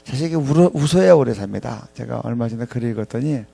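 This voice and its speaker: Gravelly, mellow middle-aged male preacher. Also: medium pitch, expressive, no echo. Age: 50s. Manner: halting, with frequent pauses and repeated phrases. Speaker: professor preaching at a ministry staff chapel. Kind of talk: reflective sermon with scripture readings.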